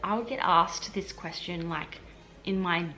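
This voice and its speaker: Aussie lady vlogging, bit low-pitched, rambly and chatty, keeps saying like. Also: unhurried, sharp tone, faint music behind. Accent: Australian. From Australia. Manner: chatty and rambling, many "like"s and restarts. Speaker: health administration officer and vlogger. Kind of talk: casual vlog explaining to camera.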